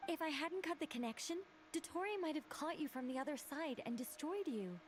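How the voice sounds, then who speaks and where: A woman's voice: sits high, animated, brisk. A woman playing a composed, caring ally in scripted video game dialogue. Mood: composed, approving. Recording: noisy game ambience behind the voice.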